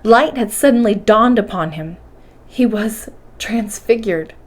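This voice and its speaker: A woman reading a bedtime story aloud with expressive, carefully enunciated narration. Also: medium pitch, unhurried. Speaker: storybook reader.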